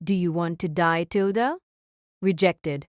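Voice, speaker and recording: A woman playing a cool, deadpan young woman senpai who talks in a flat, demanding way, in an audio drama. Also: on the low side, measured pace, no room sound, clean studio sound, natural voice. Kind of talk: amateur audio drama acting.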